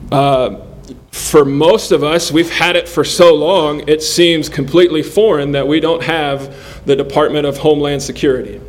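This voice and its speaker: A man in his forties preaching a sermon in an earnest, measured way, pausing between phrases. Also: a little high, steady tone. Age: 40s. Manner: earnest, measured delivery with pauses.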